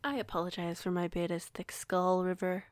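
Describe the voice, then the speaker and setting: A woman playing a composed, kindly werewolf pack alpha in an audio roleplay, speaking in a formal, measured, authoritative way. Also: lowish voice, flat delivery, no echo, natural voice. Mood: apologetic, composed.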